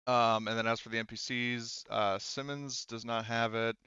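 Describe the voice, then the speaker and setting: A man running an online tabletop roleplaying game as game master, talking casually and thinking aloud with hesitant pauses. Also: mid-range voice, little pitch movement, sharp tone, clean tone, dry recording, muffled recording.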